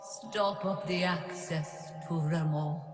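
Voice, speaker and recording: A vengeful female phantom in an audio drama. She intones ominously, extremely slowly, with heavy echo and eerie music clearly behind her. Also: lowish voice, steady tone. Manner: ominous, drawn-out incantation. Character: ghostly, vengeful female spirit, ominous and incantatory. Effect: long eerie reverb. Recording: echoey, long reverb.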